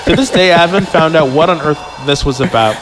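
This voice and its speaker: A man's voice: fairly high pitch, lively intonation, fast. A man reading a story aloud informally as a podcast host, stumbling over lines and tossing in joking asides.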